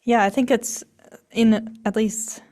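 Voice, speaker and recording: A woman hosting a podcast, from Australia, asking unhurried, reflective questions. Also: a little high, steady tone, a little mellow. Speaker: podcast host. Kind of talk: casual conversational interview.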